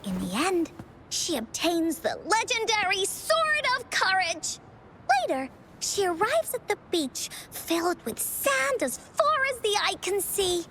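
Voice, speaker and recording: A woman voicing a spirited, imaginative little girl who tells adventure stories theatrically in a video game, with deliberate dramatic pauses. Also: very high-pitched, wildly swooping pitch, bright, forceful tone, a little hiss or hum, exaggerated voice. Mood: storytelling, spirited.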